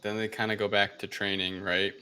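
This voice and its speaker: Bright-voiced, low-pitched man recapping casually. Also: flat delivery, fairly quick, smooth. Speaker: podcast co-host. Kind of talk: casual film recap chat.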